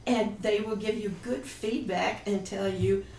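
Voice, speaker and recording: A woman's voice: mid-range voice, steady tone, a little slow, vocal fry, a little mellow. Blunt woman panelist, distant and echoey. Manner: blunt, contrarian, emphatic rhetorical questioning. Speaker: writer and panelist. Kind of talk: opinionated panel discussion. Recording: echoey, distant mic, faint noise.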